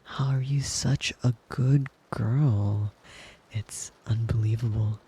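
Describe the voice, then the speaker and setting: A man playing a devoted, possessive young wolf-boy lover in an intimate ASMR roleplay, tender and breathy, often slipping into a whisper. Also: mid-range voice, steady tone, mellow. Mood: adoring, praising. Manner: tender, doting, slow and lingering with pet names. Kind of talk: intimate ASMR roleplay, soothing bedtime murmur.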